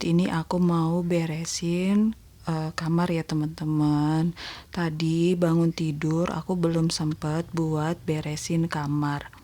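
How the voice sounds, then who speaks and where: A woman with a congested, nasal voice, gently narrating a casual voice-over vlog about her day to her viewers. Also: sits low. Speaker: homemaker vlogger narrating own daily routine. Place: voice-over recorded separately at home.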